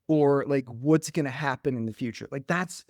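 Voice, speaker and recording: A man's voice: medium pitch, normal speed, a little mellow, no echo, clean studio sound. A middle-aged man from Denmark, a podcast guest and coach speaking reflectively and animatedly, with lots of "like" fillers. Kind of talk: conversational interview.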